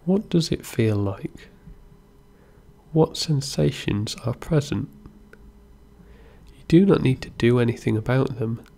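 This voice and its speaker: Male meditation guide: slow, gentle delivery with a gravelly fry and room left between phrases. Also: medium pitch, expressive. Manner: unhurried, gentle instruction with pauses. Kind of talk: guided body scan meditation narration.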